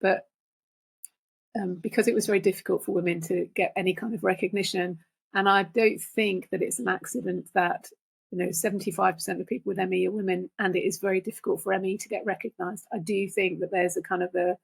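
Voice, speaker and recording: A middle-aged or older woman speaks in relaxed conversation as a guest reader. Her voice is raspy and creaky at an average pitch, and she thinks aloud in halting, reflective phrases. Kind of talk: casual conversational discussion.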